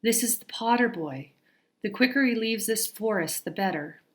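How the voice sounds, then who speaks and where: A woman voicing a young, solemn and protective female centaur who speaks in a grave, measured way in a storybook reading. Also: medium pitch, expressive, voice pushed up, lightly acted.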